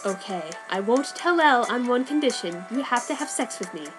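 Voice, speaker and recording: A woman's voice: on the high side, animated, brisk, natural voice. A woman voicing a scheming teenage girl who blackmails, in a sly, teasing way. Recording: background music.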